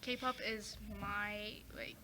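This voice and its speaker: A woman's voice: mid-range voice, steady tone, very slow, background noise. A teenage girl talking casually as a guest, full of "like" and "um" and restarting her sentences. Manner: chatty, many fillers and restarts. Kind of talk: casual chat.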